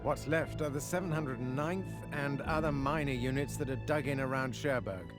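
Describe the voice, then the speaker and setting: A man's voice: medium pitch, moderate pace, close to an everyday voice. A man playing a wary, dutiful intelligence officer in a video game, formal and earnest in clipped military phrasing. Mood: measured, defensive. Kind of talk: video game briefing dialogue. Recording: loud orchestral music behind. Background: loud game music.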